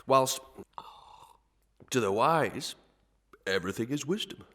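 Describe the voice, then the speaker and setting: An actor playing a pompous, sly, gluttonous parish clerk who sermonises in archaic proverbs and Latin tags in a melodrama. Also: male voice, medium pitch, lively intonation, slow pace, pushed and bright. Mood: pompous, lecturing. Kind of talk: Victorian melodrama audio acting.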